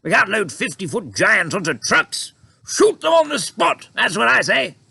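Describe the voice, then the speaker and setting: A man's voice: high-pitched, big pitch swings, moderate pace, vocal fry, bright, forceful tone, slightly rough, dry recording, pitched above the performer's own voice, heavily acted. A man voicing an irritable, blustering army general who snaps indignantly. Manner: indignant and snappish.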